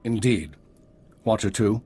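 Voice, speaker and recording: An actor playing a guarded intelligence chief in a video game, measured, formal and authoritative. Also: male voice, fairly low pitch, mellow, breathy edge, faint music behind. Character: grave, guarded male intelligence spymaster. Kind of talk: video game drama acting.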